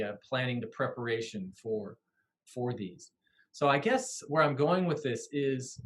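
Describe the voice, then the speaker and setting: A man speaks as a panelist on a video call through a headset. He talks in a thoughtful, measured way and pauses to find his words. His pitch is ordinary for a man. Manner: thoughtful, with many restarts and fillers.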